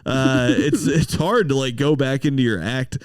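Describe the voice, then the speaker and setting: Smooth-voiced man telling stand-up stories. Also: mid-range voice, normal speed, no room sound. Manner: laid-back storytelling, swearing, frequent laughs. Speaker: stand-up comedian guest. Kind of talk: casual podcast chat.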